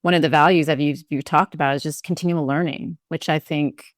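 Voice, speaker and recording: Low-voiced woman hosting an interview. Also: fairly even intonation, a little fast, no room sound, studio quality. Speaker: podcast host. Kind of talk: interview questioning.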